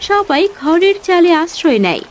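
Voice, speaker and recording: A woman's voice: very high voice, big pitch swings, moderate pace, faint music behind. A woman reading scripted narration for a cartoon story.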